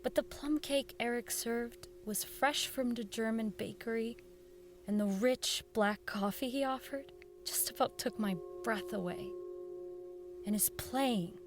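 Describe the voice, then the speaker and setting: An actress playing an earnest, nervous woman who works in network radio, in radio drama dialogue and narration. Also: a little high. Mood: reflective.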